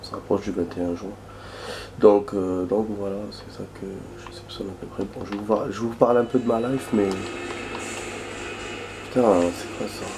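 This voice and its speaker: Hoarse man with a cold. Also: on the low side, little pitch movement, normal speed. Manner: rambling, chatty, bursts of surprised swearing. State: hoarse from a cold, losing his voice. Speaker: gaming video host. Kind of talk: casual let's-play commentary. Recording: background noise and game sounds.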